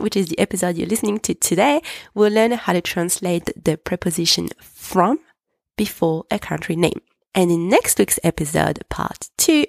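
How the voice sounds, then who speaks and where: A woman hosting a podcast lesson, patiently explaining and repeating French examples slowly. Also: fairly low pitch, gravelly, no room sound, studio quality. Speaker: French teacher, podcast host.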